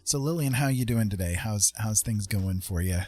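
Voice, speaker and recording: Deep husky-voiced man in his fifties, chatting. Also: lively intonation, moderate pace, a little mellow, faint music behind. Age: 50s. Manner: friendly, rambling, thinking aloud. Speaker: art livestream host. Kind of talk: casual livestream chat while drawing.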